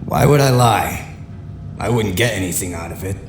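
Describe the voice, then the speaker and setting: An actor in an audio drama playing a defiant, sly male prisoner with a flat, sardonic way of talking. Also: normal speed, close to an everyday voice. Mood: evasive, sardonic. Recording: echoey room, rumbling background noise.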